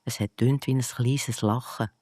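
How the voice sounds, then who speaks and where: A storyteller with a Swiss German accent narrating a scripted bedtime story for children in a gentle, deliberate manner. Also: medium pitch, fairly even intonation, dry recording, clean studio sound.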